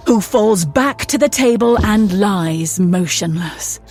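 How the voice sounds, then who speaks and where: A woman's voice: mid-range voice, animated, slow, music in the background. A woman narrating an audio drama in a dramatic, scripted storytelling style.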